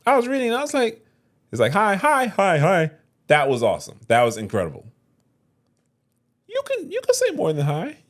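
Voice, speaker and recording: A man co-hosting a podcast in casual chat, playful, jumping up high when he jokes. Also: moderate pace, long pauses. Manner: playful, animated, swings up high when joking. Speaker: podcast co-host.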